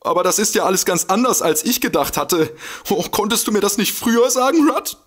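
A would-be detective in a comic radio play. His voice is husky and very animated. He sounds self-important and is easily exasperated. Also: high voice, brisk. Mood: indignant. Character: bumbling, smug would-be detective, easily indignant man. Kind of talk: comedic audio drama acting.

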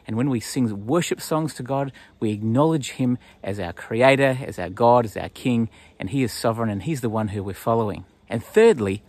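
Husky Australian man presenting to children. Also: mid-range voice. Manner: simple, explanatory, addressing children. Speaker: pastor presenting a children's story. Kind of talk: children's nature talk to camera. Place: outdoors in bushland.

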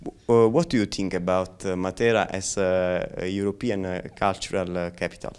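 Male interviewer; low, clear voice, hesitant phrasing. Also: steady tone, darkish tone. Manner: halting questions. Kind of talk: interview questions.

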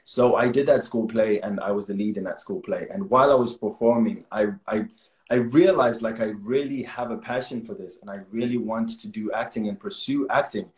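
Male actor guest from Zimbabwe in his twenties, deep-ish voice, eager and talkative, roomy video call sound. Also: steady tone, fairly quick. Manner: earnest, chatty, laughs often. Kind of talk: casual interview over video call. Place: small room indoors at home.

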